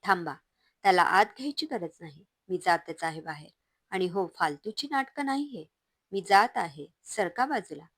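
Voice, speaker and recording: A woman's voice: fairly low pitch, expressive, normal speed, a bit bright, dry recording, clean studio sound. A woman voicing a hurt but resolute young wife who stands up to her husband in a firm, raised voice. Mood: defiant.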